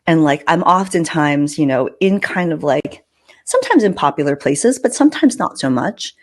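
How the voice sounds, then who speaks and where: A woman's voice: low-pitched, animated, moderate pace. A woman hosting a podcast, telling personal stories casually with emphatic delivery and joking asides. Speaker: podcast host. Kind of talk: casual podcast chat, anecdotal storytelling.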